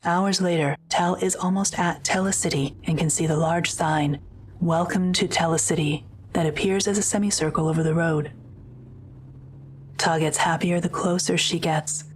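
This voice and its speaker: A woman's voice: fairly low pitch, fairly even intonation, moderate pace, a little hiss or hum. A woman reading scripted narration for an audio drama.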